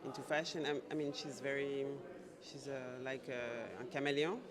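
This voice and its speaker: A man from Switzerland, an actor, speaking hesitantly with many pauses and fillers. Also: mid-range voice, steady tone. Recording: crowd chatter behind.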